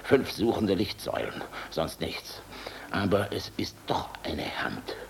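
An actor playing a defiant, world-weary middle-aged general in a German radio drama, sardonic, brooding and biting. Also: male voice, low voice, very animated, unhurried, in a whisper, heavily acted. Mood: haunted, brooding. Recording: clearly noisy radio drama recording.

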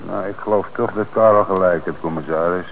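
An actor playing an eager police detective who talks in a conversational, enthusiastic way in a radio drama. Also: male voice, sits low, brisk, slight background noise, poor audio.

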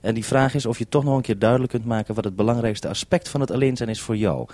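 A man speaks as a radio host on a two-way link, his voice mellow and relaxed. He gives clear, businesslike instructions in a very dry-sounding space. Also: mid-range voice, fast, no echo. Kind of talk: two-way radio call before a broadcast, giving instructions.

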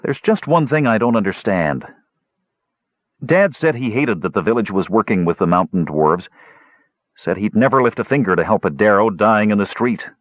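A man's voice: on the low side, animated, normal speed, dry recording, lo-fi sound. Man playing a sullen, stubborn young dwarf. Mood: puzzled. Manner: belligerent, blunt, informal. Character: sullen, stubborn young male dwarf nephew, defensive, grieving.

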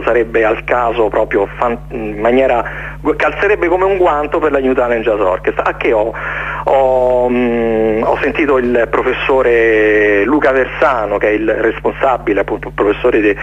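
A man, speaking casually as an interview guest in a halting, rambling way with repeated words. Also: mid-range voice. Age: late 40s. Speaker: interview guest, jazz orchestra conductor. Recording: dull, noisy radio recording, hum.

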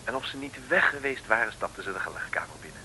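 A man's voice: mid-range voice, animated, quick pace. A man narrating a 1960s radio serial as a scripted, mock-solemn storyteller. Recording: noisy, clicky old radio recording.

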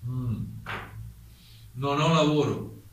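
An actor playing an exiled German playwright testifying at a hearing, polite, formal and insistent, in a staged dramatic reading. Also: male voice, medium pitch, drawn-out words. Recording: distant mic, very echoey room, faint noise.

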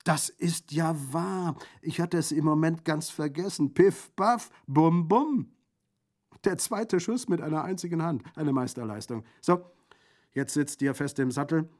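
A man's voice: fairly high pitch, a little slow, darkish tone, voice pushed up, close to an everyday voice. A man voicing a boisterous Corsican bandit in an audiobook, jovial and exclamatory, with a gleefully mocking, gruesome humour. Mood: excited, astonished. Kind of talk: audiobook character acting.

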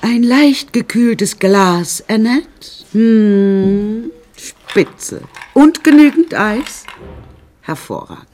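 An older woman in a radio drama, recounting a grim life with dry, imperious wit. She takes her time over each phrase and swings widely in pitch, confiding and theatrical. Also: animated, a little mellow, slight background noise. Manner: wry, theatrical, drawn-out confiding delivery. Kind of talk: radio drama first-person narration.

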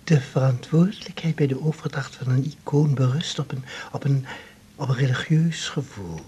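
An actor playing a pompous, pleading disgraced doctor in a radio drama, with a breathy, husky voice and halting solemn phrasing. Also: male voice, mid-range voice, dark tone, a little hiss or hum, natural voice. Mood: solemn, wheedling. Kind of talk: naturalistic Dutch radio drama acting.

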